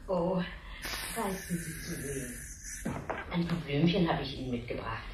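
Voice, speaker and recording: A woman playing a chatty, gushing, gently complaining housewife on a visit in a 1970s German radio play. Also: sits low, expressive, measured pace. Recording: slightly hissy 1970s radio recording.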